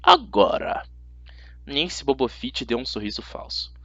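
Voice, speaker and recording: A Brazilian-accented man reads a first-person novel aloud. His delivery is lively and dramatic, with wide swings in pitch. The sound is very dry and a little dull. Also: fairly quick, dry recording. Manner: dramatic, expressive reading. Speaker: amateur audiobook narrator. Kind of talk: scripted first-person story narration. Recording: slightly dull, dry audio.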